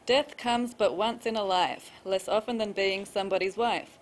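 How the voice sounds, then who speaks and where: A woman's voice: mid-range voice, moderate pace, slight background noise, low-quality recording. A woman reading her own poetry, with casual introductions between pieces. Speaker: poet reading own work.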